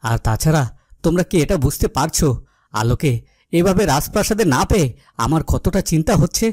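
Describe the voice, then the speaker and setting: An actor playing a worried, protective cartoon king who speaks in a formal, measured, authoritative way. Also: a little high, sing-song, a little mellow, no room sound, studio quality. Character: worried, protective fairytale king, stately and questioning. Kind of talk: dubbed cartoon fairytale acting.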